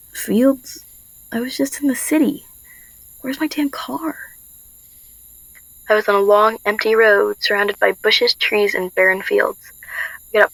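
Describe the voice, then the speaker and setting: A woman's voice: mid-range voice, vocal fry, pushed and bright, husky, dry recording, heavily acted, poor audio. A woman playing a frightened but determined young woman on the run in a first-person radio drama. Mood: bewildered, then tense.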